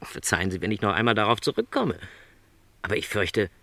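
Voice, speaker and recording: An actor playing a middle-aged travelling salesman in a radio play, gentle, earnest and lonely, with courteous, careful, apologetic phrasing. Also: lowish voice, sing-song, a little fast, creaky, dry recording, exaggerated voice.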